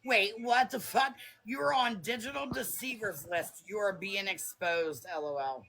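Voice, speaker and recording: A woman with a raspy smoker's voice, talking defensively and bluntly on a phone livestream. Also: medium pitch, normal speed, a bit bright, rough recording. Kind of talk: heated live chat.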